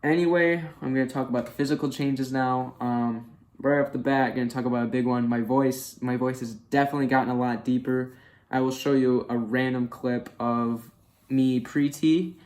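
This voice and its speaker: Early twenties guy vlogging, chatty and candid, kinda monotone, um-heavy. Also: medium pitch, normal speed. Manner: candid, chatty, with many fillers. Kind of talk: casual personal vlog.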